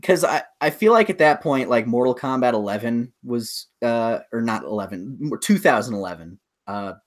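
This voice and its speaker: A man's voice: medium pitch, lively intonation, normal speed. Man, mid-range voice, casual chat, rambling, enthusiastic, podcast co-host. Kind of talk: casual chat about films and games.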